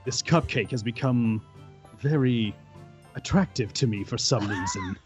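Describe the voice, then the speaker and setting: A man's voice: mid-range voice, huge pitch swings, measured pace, loud music behind the voice. Man playing a sardonic, wildly swooping young man. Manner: sardonic, melodramatic. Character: gloomy, sarcastic, awkward emo young man. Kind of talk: dry comic Minecraft roleplay.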